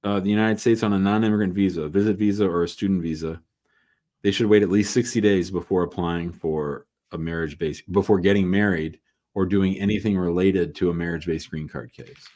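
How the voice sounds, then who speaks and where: A man hosting a live call-in show, answering callers' questions in a relaxed, thinking-aloud way. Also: deep voice, brisk. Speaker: immigration show host.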